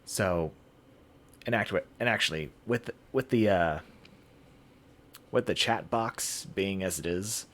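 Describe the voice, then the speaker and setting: A man hosting a game livestream, chatting casually in a halting, rambling way. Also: low voice, fairly bright tone. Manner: halting, rambling delivery with throat clearing. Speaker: game streamer, host.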